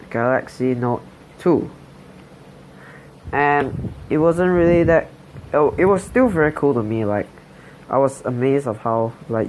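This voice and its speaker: Slow, halting teen guy. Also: medium pitch, slight background noise, low-quality recording. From Singapore. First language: Chinese. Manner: hesitant, halting, many fillers and restarts. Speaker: channel host. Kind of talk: personal life story voice-over.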